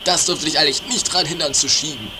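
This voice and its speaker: A performer playing an excitable, indignant driver with theatrical exclamations in an improvised comedy radio play. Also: medium pitch, fast talker, background noise, natural voice. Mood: indignant, insistent.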